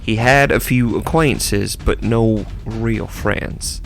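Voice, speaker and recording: Earnest awkward clergyman, creaky low voice, measured, radio drama, clear music underneath. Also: a bit bright, full character voice. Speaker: actor in an audio drama.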